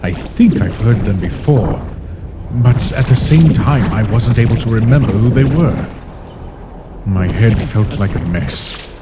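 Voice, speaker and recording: A man voicing a weary, brooding ancient being who narrates a comic dub in a solemn, measured way. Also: on the low side, animated, heavy background noise. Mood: pensive, guarded. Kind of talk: scripted first-person comic dub narration. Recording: voice under loud music.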